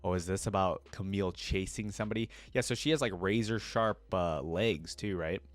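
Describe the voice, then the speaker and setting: Low-pitched man reacting and reading aloud. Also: moderate pace, no room sound. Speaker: reaction video host. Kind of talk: casual reaction commentary mixed with reading lore aloud.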